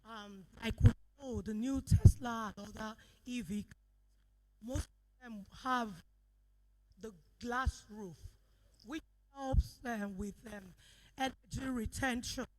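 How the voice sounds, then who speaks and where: A woman giving a halting presentation at an event, with many pauses and restarts. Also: a little high, rough recording. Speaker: company representative presenting.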